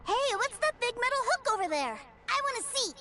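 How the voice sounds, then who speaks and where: A woman's voice: extremely high pitch, sing-song, a little fast, very noisy. A woman voicing a restless, naive little boy in a video game, talking in an eager childlike way, with game music behind. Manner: eager, wide-eyed, childlike.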